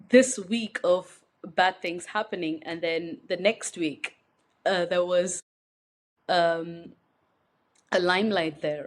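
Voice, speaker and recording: A young woman from India, speaking as an interview guest in a reflective, hesitant way with frequent fillers and restarts. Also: lowish voice. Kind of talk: personal interview conversation.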